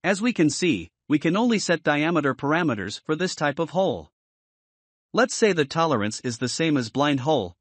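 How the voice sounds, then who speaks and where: A man giving scripted, instructional software tutorial narration in a measured, explanatory manner. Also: fairly high pitch, big pitch swings, no room sound.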